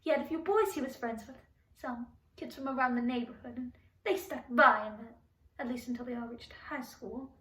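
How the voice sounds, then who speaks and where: A woman performing a dramatic monologue as a grieving, defensive mother who dotes on her son, halting and pleading as she speaks. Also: fairly high pitch, a bit bright, close to an everyday voice. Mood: defensive, sad. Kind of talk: dramatic monologue for speech competition. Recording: echoey room, distant microphone.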